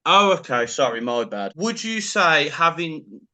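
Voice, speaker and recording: A man's voice: fairly high pitch, sing-song, a little slow, pushed and bright. A man hosting a casual interview, asking questions in an encouraging, affirming way. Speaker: interviewer host.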